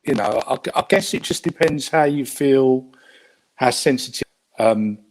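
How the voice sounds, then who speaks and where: A man's voice: medium pitch, unhurried, poor audio. Hesitant Cockney man on a video call. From England. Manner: hesitant, many fillers and restarts. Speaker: guest. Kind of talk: casual video call chat.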